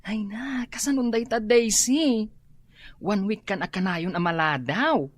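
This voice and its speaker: A woman's voice: medium pitch, unhurried, natural voice. A woman acting a strict office supervisor in a radio drama, reproachful.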